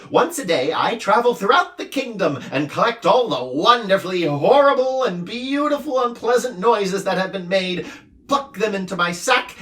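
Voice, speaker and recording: A man voicing an excitable, tearful male noise creature in a storybook reading, with a high, loud, squealing voice. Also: echoey room, recorded from a distance. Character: shrieking overjoyed male noise creature, weepy.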